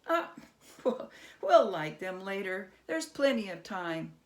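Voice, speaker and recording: A woman voicing a sly, unhurried man who toys with his opponent, as a storybook character. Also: medium pitch, very animated. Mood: gleeful. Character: sly, unhurried man outwitting a goblin at dreidel.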